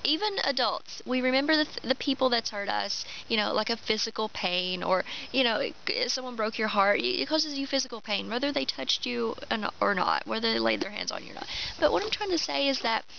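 A woman's voice: on the high side, sing-song, fairly quick, sharp tone. A woman in her twenties with a Kentucky Southern accent, talking casually and animatedly to her camera outdoors in a pine wood, laughing often. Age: 20s. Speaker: vlogger. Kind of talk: casual opinion vlog. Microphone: camera mic, head-and-shoulders framing. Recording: dull lo-fi outdoor audio with clear background noise.

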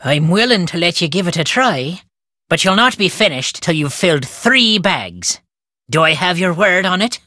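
Grumpy old irish farmer from a game, folksy and stubborn, super animated pitch, dry sound. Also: male voice, moderate pace, no echo, heavily acted. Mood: brisk, bossy. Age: older. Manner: folksy inverted phrasing, storytelling lilt. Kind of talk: video game character dialogue.

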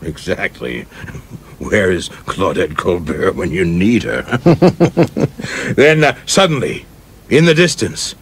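An actor playing a flamboyant young film director in a radio play, theatrical, florid and teasing, with a husky voice. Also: male voice, fairly low pitch, fairly bright tone, exaggerated voice. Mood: relishing, amused. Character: flamboyant, grandiose young film director spinning tall tales.

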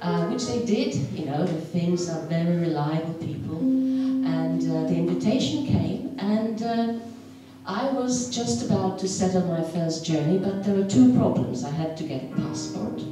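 A woman's voice: fairly low pitch, animated, mellow, distant mic, soft background music. Polish woman telling a story in an echoey hall. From Poland. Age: middle-aged. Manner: hesitant, many filler pauses. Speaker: storyteller at a community event. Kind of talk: personal story told to an audience. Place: large wooden hall with stage. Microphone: handheld mic, heard from the hall.

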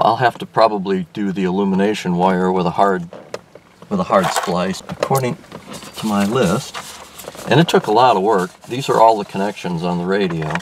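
An older man explaining a car radio install as he works, thinking aloud with frequent restarts and fillers, recorded inside a car in daylight. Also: low-pitched. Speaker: car restoration vlogger. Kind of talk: casual hands-on explanation. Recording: distant mic with faint background noise.

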